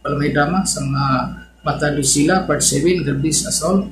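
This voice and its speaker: A Cebuano Filipino man hosts in a casual, grateful way, with a slightly high voice. The recording is roomy and echoey, with some background noise. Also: fairly even intonation, normal speed. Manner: grateful, informal. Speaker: channel host of a Bisaya radio drama upload. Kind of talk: casual vlog intro reading listener comments.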